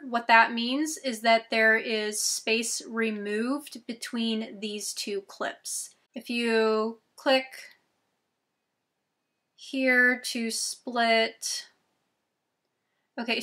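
Slow, bright-voiced young woman explaining step by step. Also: a little high. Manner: slow, step-by-step instructions, chatty asides. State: losing her voice. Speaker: YouTube tutorial host. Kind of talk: screen-recorded software tutorial. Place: small bedroom.

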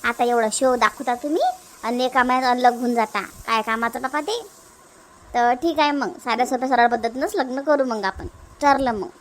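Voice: medium pitch, normal speed, fairly bright tone, slight background noise, close to an everyday voice. A performer voicing a fussy, talkative, practical village mother in a Marathi family cartoon, with a Varhadi accent and a homely, rambling manner. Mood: approving, opinionated. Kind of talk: comic family cartoon dubbing.

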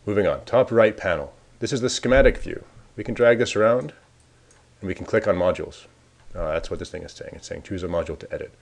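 Deep-voiced man walking through software. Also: fast talker, creaky. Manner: casual, thinking aloud while clicking. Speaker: software developer demoing a tool. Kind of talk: screencast walkthrough.

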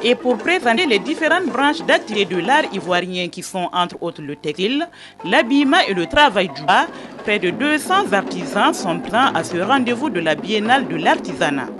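Lively woman reporting over music. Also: mid-range voice, moderate pace.

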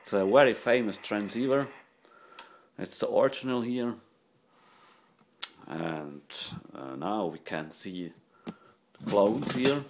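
A man informally demonstrating equipment in short, halting phrases. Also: fairly low pitch, animated. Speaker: radio collector and presenter. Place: indoors at a desk. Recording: noisy room with radio static.

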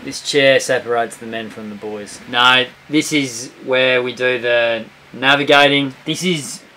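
A man's voice: mid-range voice, steady tone, a little slow, sharp tone, poor audio. A young man giving a casual, joking tour of his sailing catamaran, explaining the gear plainly. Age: young adult. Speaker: sailing vlogger. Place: on a sailing catamaran, deck and cabin.

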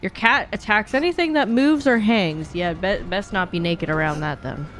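A woman's voice: medium pitch, normal speed, noisy background. A woman streamer giving casual, playful live gameplay commentary, chatting along and answering her viewers. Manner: chatty, playful, reading and answering chat aloud.

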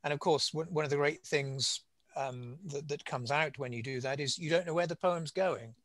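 A man's voice: on the high side, normal speed, fairly bright tone, no room sound, clean studio sound. A male poet on a video call, explaining his writing in informal, conversational talk. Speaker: poet answering questions. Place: indoors at home, on a group video call.

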